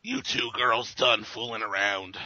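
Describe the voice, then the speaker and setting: A man voicing a gruff, cocky superhero brawler spoiling for trouble, in an amateur cartoon parody reading over a muffled internet call. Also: on the low side, unhurried, sharp tone, no room sound, full character voice. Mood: cocky, menacing.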